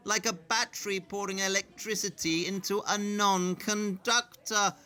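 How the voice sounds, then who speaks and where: A man's voice: sits high, steady tone, unhurried, sharp tone. An actor in an audio play as a brusque, impatient male detective, speaking with pompous, precise, theatrical diction. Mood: exasperated, disdainful.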